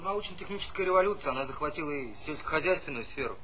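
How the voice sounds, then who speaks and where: An actor playing a brainy young village grandson in a stage comedy, earnest and sulky, speaking in slogans. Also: male voice, a little high, expressive, racing, sharp tone, low-quality recording. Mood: earnest, preachy. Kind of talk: theatrical stage comedy acting.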